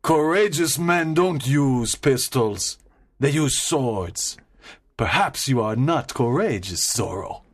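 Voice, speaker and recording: A man voicing a boastful, loud army sergeant in a read-aloud story, slow and emphatic. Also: mid-range voice, lively intonation, slightly rough. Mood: hostile.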